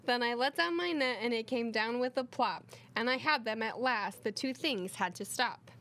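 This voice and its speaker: Lady reading a kids rhyming book out loud, kinda high voice. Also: normal speed, slight background noise. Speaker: co-reader. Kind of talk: children's storybook read-aloud.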